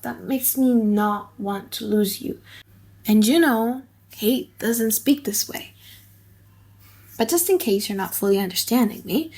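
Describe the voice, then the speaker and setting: Woman acting a lovestruck young heiress, lightly high. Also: a little mellow, lightly acted. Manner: halting, sighing, giggly. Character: lovestruck young heiress, anxious, playful, rambling.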